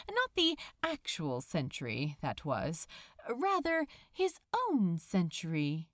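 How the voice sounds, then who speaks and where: A woman narrating a story expressively, with deliberate, dramatic phrasing. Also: mid-range voice, no room sound, clean studio sound. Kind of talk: expressive scripted fan fiction narration.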